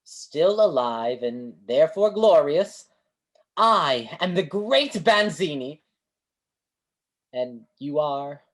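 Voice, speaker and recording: A woman's voice: low voice, animated, slow, full character voice. A woman playing a grandiose, kindly street fortune-teller with florid delivery in a staged play reading over a video call. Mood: grand, genial. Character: Great Banzini, grandiose theatrical male street fortune-teller, kindly mystic.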